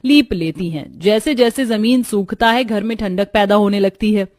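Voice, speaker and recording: A woman's voice: mid-range voice, moderate pace, a little mellow, no room sound. A woman storyteller reading scripted narration for a moral tale.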